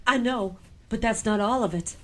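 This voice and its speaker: A woman's voice: a little high, normal speed, a bit bright, natural voice. A woman playing an anxious, shaken young witness in an audio drama, speaking emotionally.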